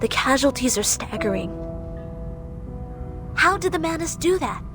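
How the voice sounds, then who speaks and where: An actress voicing a composed woman agent who speaks in a calm, serious, businesslike way. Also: high-pitched, unhurried, music in the background, exaggerated voice.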